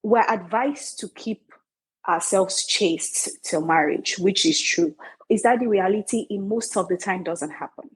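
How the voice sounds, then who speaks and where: Nigerian woman, author guest; husky, emphatic, playful. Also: medium pitch, unhurried, rough recording. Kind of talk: animated podcast interview.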